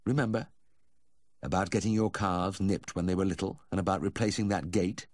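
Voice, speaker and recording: A male narrator voices a country vet in a storybook, firm and earnest. He speaks in measured questions with very varied pitch, close and dry. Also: big pitch swings, a little mellow, breathy edge, no room sound. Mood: quiet, reproving.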